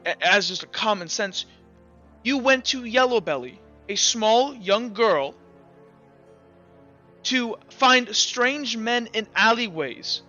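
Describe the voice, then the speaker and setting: A man playing a skeptical, methodical courtroom prosecutor who presses with halting, repeated questions in a tabletop roleplay. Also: high voice, bright, forceful tone, heavily acted. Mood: accusing, incredulous.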